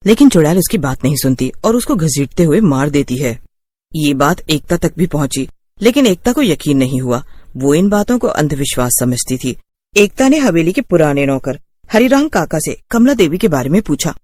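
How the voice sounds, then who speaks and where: Lively woman narrating a horror tale. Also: deep voice, normal speed, no echo. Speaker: storyteller. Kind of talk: scripted horror story narration.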